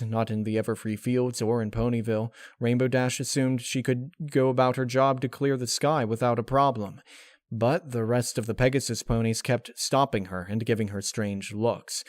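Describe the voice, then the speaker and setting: A man's voice: on the low side, darkish tone, no room sound, clean studio sound. A man reading a story aloud as scripted, dramatic narration.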